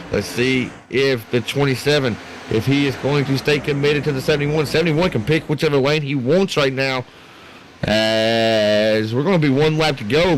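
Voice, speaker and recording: Animated higher-voiced male race commentator. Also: rough recording. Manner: animated, folksy, colloquial. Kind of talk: excited live sim racing play-by-play. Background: racing game vehicle noise.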